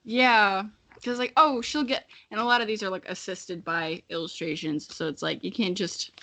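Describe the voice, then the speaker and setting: A woman's voice: medium pitch, steady tone, moderate pace, pushed and bright. A young woman co-hosting a podcast in casual chat, candid and self-mocking, with easy swearing. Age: young adult. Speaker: podcast co-host. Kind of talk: casual chat between friends.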